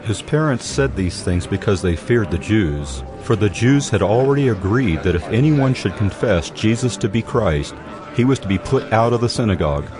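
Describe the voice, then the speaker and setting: Casting: male narrator, lowish voice, measured scripture storytelling with clear music underneath. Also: animated. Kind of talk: scripted audio Bible narration.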